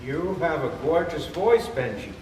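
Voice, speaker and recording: Male actor as persuasive cantor, stage play, declamatory pauses, roomy echo, faint noise. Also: fairly high pitch, lively intonation, a little mellow. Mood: gentle. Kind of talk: live theater comedy acting. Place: theater or temple hall, far microphone. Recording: echoey hall from far off, noisy.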